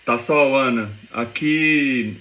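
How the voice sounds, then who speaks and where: A man from Goiânia, a business owner answering interview questions on a video call in a polite, measured way. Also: mid-range voice, fairly bright tone. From Goiânia, Brazil. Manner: polite, measured, repeats words.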